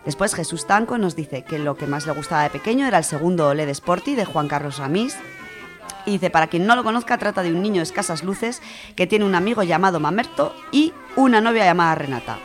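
A woman's voice: on the low side, lively intonation, fast talker, soft background music. A woman with an accent from Spain presenting a radio show, chatting informally as she reads out listener contributions. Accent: Spanish from Spain. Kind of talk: casual radio chat, reading out listener contributions.

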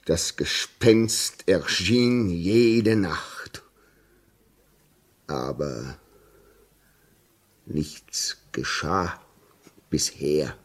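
A haunted railway signalman in a vintage radio play. His low voice is rough and husky, halting and agitated, moving from hushed dread to anguished cries. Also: heavily acted. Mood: grim, haunted. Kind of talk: 1950s German radio drama acting. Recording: muffled-edged vintage 1950s radio recording.

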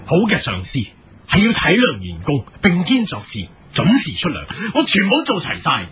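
A man's voice: fairly high pitch, wildly swooping pitch, moderate pace, a bit bright, slight background noise. A man playing a bossy, earnest captain of a hero squad in a comedic radio drama, preachy and exclamatory. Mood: stern, preachy.

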